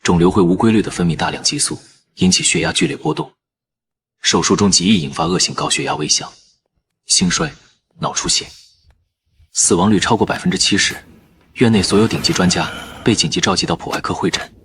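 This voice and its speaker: A man narrating in the first person as a calm surgeon, in measured scripted narration. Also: low-pitched, gravelly, a little hiss or hum, exaggerated voice. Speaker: narrator and lead character, a surgeon.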